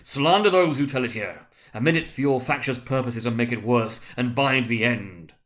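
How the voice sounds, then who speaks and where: Guy doing a solemn old spirit, stern and sorrowful, big booming victorian storybook delivery. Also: moderate pace, a bit bright, natural voice. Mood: stern, thundering. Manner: booming, emphatic, declamatory. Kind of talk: dramatic storybook reading of a Victorian tale.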